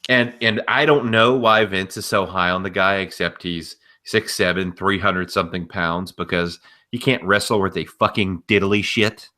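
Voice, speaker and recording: A man's voice: low-pitched, fairly even intonation, moderate pace, bright, forceful tone. A man co-hosting a casual wrestling news podcast, blunt, opinionated and freely profane. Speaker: podcast co-host.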